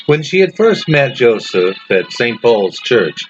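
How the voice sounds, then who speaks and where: A man reading a literary story as its author in a dramatic narration style, with deliberate, set-apart phrasing. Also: medium pitch, very animated. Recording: dull lo-fi sound under loud music. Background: loud music.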